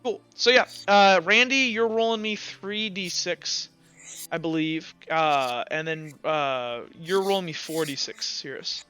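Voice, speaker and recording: A man running a tabletop roleplaying game, explaining rules informally and haltingly as game master. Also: a little high, sharp tone, clean tone, no room sound, faint music behind.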